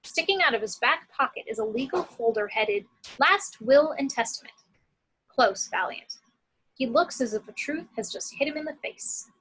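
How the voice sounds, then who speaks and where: Bright, husky woman narrating screenplay action. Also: sits high, animated, normal speed, rough recording. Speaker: narrator reading action descriptions.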